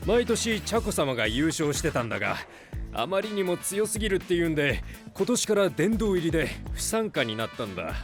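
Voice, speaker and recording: Lively, chatty townsman. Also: on the high side, fairly quick, soft background music. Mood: chatty, enthusiastic. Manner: casual, enthusiastic explaining. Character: friendly, chatty local townsman, sports fan. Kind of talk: anime voice drama acting.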